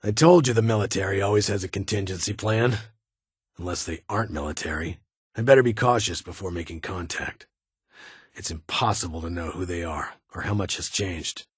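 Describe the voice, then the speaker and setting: A man's voice: sits low, a little fast, slightly rough, dry recording, clean studio sound. A man playing a stern, guarded military security chief in a video game, with a clipped, authoritative and brusque manner. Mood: guarded, reflective. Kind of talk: video game character dialogue.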